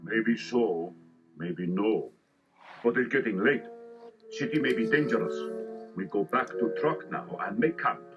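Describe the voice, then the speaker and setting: An actor playing a superstitious, cautious male desert guide who speaks halting, ominous broken English in a children's story. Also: fairly low pitch, lightly acted. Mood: ominous warning. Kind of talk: children's audio story acting. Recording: muffled lo-fi tape, music behind.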